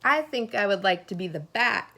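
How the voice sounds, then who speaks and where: A woman teacher reading a children's picture book aloud expressively, pausing to put questions and asides to her young listeners. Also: medium pitch, animated, bright, forceful tone.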